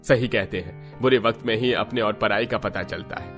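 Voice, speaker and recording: A man's voice: on the low side, moderate pace, a bit bright, faint music behind, natural voice. A man voicing a troubled, humbled rich husband in a cartoon story, speaking earnestly. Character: rich husband, worried and humbled after losing his money. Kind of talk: Hindi cartoon story dialogue.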